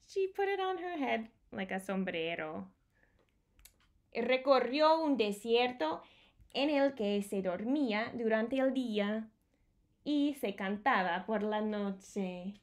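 Woman, thirties, bilingual picture-book reader for little kids; slightly high voice, very measured pace, expressive and explanatory. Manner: expressive, explaining and repeating key words for children. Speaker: children's storytime presenter. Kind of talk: bilingual picture-book read-aloud for young children. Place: small home room with bookshelves, indoors.

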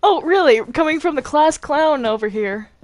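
A young woman with a high voice plays a video game with friends. She talks casually, trading banter as one player in the group. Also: lively intonation, normal speed, darkish tone.

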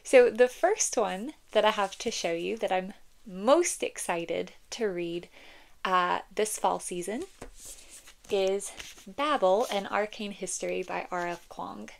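A woman's voice: medium pitch, takes it slowly, vocal fry. A young woman vlogging casually about books from her home, a little congested from a cold, talking with lots of "like" and "um". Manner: chatty, frequent "like" and "um", reads blurbs aloud. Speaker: book vlogger. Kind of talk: casual chat and blurb reading.

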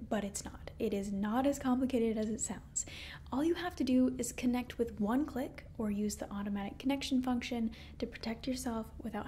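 A woman in her twenties giving a sponsor read in a breathy ASMR whisper. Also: a little high, dark tone, slight background noise. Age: 20s. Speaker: ASMR host reading a sponsor message.

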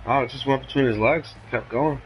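A man's voice: medium pitch, brisk, a little hiss or hum, rough recording. A man chatting casually in a laid-back, slangy way as he plays a video game with a friend. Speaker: gaming show co-host and player. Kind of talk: casual chat while gaming.

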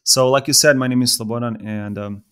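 A man in his thirties from Serbia with a Serbian accent, speaking as a podcast guest in a thoughtful, halting way with many fillers. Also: medium pitch, fairly even intonation, a bit bright, clear, resonant tone. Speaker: podcast guest, software developer. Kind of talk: casual podcast conversation.

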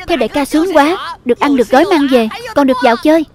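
Woman dubbing a greedy little magic sidekick thing, cartoon, really high voice, cheeky and pushy. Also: racing, a crowd in the background. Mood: delighted. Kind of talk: scripted voice-over dub reading.

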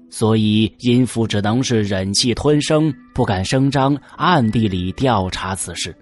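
An actor playing an elderly, officious Tang dynasty prefect in an audio drama, with measured, old-fashioned diction. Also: male voice, medium pitch, darkish tone.

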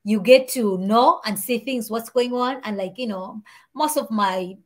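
A woman with a Malawian accent talks through her story as an interview guest, lively and gesturing as she speaks. She sits at home and comes through a video call headset. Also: a little high, measured pace. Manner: animated, talks with her hands. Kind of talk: casual interview chat. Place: home room with shelves and warm lamp. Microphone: video call, earbuds.

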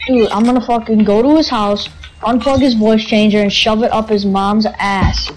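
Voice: mid-range voice, fairly even intonation, moderate pace. A young, foul-mouthed player trash-talking brashly over game voice chat on a headset. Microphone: gaming headset over voice chat. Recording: noisy game voice chat with clicks.

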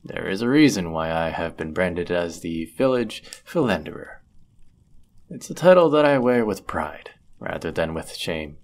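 A man's voice: sits low, very animated, measured pace, heavily acted. A man playing a flirtatious, courteous medieval fantasy innkeeper in a roleplay audio drama, with formal, ornate, teasing phrasing. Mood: playful, proud. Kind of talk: scripted roleplay audio drama, addressing the listener.

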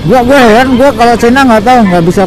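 A man's voice: extremely high pitch, fast, background noise. A man chats casually as a motovlog host while riding, joking about himself and repeating phrases. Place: outdoors on a moving motorcycle. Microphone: helmet intercom. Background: loud music, vehicle sound.

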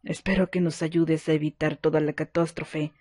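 Mellow, even-toned woman. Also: sits low, normal speed, no room sound, clean studio sound. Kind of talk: scripted channel thank-you.

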